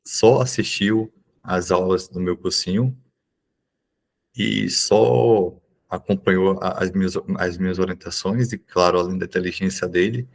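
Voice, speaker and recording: Young man, Bahian Brazilian accent, lowish husky voice, informal teacher, halting explanations. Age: young adult. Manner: halting, explanatory, with checking tags. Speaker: neurology resident and exam prep teacher. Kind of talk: informal exam review lesson.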